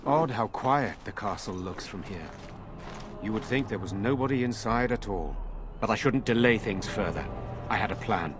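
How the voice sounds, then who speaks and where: Actor voicing an earnest young noble knight. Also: male voice, lowish voice, lively intonation, moderate pace, background noise, natural voice.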